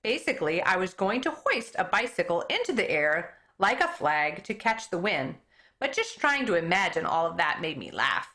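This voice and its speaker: Lively, bright-voiced woman reading a story aloud. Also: on the low side, moderate pace. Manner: expressive storytelling, stumbles on hard names and comments on them. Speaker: elementary school teacher. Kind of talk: reading a children's book aloud.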